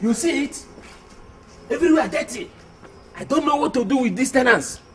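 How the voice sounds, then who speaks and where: A man's voice: very high-pitched, lively intonation, moderate pace, a little hiss or hum. A man acting a stern, self-important landlord in a comedy drama, scolding in formal English with a Nigerian accent. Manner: scolding, pompous.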